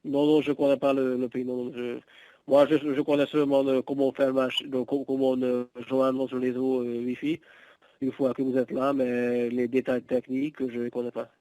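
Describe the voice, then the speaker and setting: A man's voice: medium pitch, little pitch movement, normal speed, warm tone, no echo, low-quality recording. A man working as a hotel receptionist on a customer service call, polite, patient and hesitant, often repeating himself.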